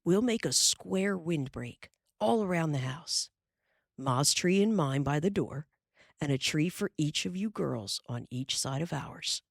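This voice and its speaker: A woman doing a steady pioneer father. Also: sits low, measured pace, creaky, dry recording, clean studio sound, full character voice. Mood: gentle. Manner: firm, reassuring.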